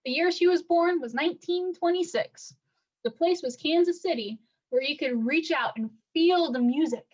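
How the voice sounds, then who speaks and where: A woman reading a picture book aloud for children like a teacher, with expressive, deliberate storytelling. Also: high-pitched, muffled recording.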